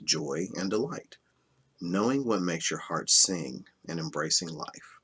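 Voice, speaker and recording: Deep-voiced man reading aloud, slightly husky. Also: fairly bright tone. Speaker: reader of a self-help book. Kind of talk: read-aloud spiritual nonfiction narration.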